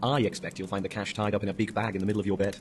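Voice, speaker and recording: A man's voice: fairly low pitch, level tone, rapid-fire, over music, lightly acted. A man playing a flippant young son who teases with mock drama in a horror audio reading. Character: cheeky young adult son, teasing his parents.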